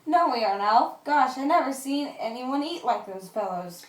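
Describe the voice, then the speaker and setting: A woman as a cheeky young lad, high voice. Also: animated, moderate pace. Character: eager, cheeky young sailor lad Peter. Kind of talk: amateur radio drama acting. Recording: echoey room.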